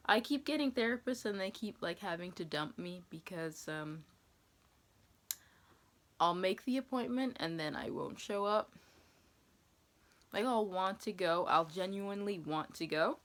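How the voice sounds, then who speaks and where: Creaky low-voiced young American woman. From New England, USA. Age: 20s. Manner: rambling, hesitant, thinking aloud. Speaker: vlogger answering viewer questions. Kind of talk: casual question-and-answer chat, reading questions aloud.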